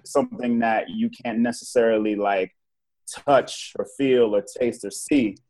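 A man's voice: medium pitch, a little slow. Easygoing man in a casual discussion. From Virginia, United States. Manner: relaxed, joking, says "you know" and "right?" often. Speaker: podcast guest.

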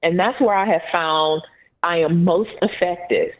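Encouraging Southern woman on a tinny line. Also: on the low side. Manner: earnest, encouraging, unhurried. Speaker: podcast co-host. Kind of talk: casual podcast chat. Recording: phone-like narrow band.

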